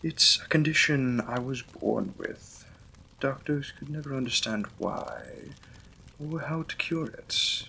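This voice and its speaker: A man playing a courteous, reserved Victorian vampire lord in a romantic audio drama, speaking in formal, gentle, polite phrases. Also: mid-range voice, lively intonation, takes it slowly, gravelly, a little hiss or hum, heavily acted, low-quality recording. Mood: courteous, attentive.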